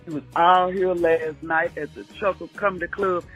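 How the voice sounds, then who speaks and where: A woman's voice: on the low side, fairly even intonation, normal speed, fairly bright tone, muffled recording. A woman from the southern United States leaving a friendly phoned-in message for a radio show. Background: music.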